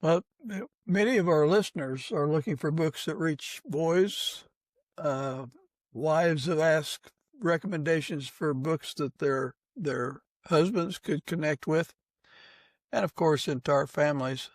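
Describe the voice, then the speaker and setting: A man hosts a podcast interview in a somewhat high voice. He puts his questions conversationally and at a slow pace. The recording is dry, free of room echo.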